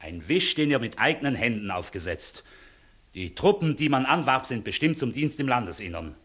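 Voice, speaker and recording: Stern, animated visiting court inspector. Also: male voice, medium pitch, normal speed. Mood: indignant. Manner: authoritative, clipped commands. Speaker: actor. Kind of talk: 1960s German radio play acting.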